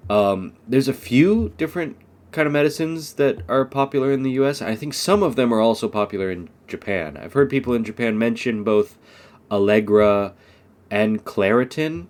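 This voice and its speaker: A man's voice: medium pitch, lively intonation, normal speed, darkish tone. A young American man, stuffed up from allergies, giving an unscripted English lesson and rambling with lots of "um" and "like". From United States. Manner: rambling, many fillers like "um" and "like". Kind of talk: unscripted casual monologue.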